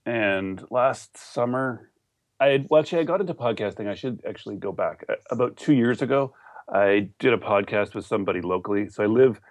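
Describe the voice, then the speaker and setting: A Canadian man with a fairly low voice, recorded close and dry. He talks as an interview guest in a casual, loosely rambling way, like someone telling his story over coffee. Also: vocal fry, no room sound. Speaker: podcast guest, online entrepreneur.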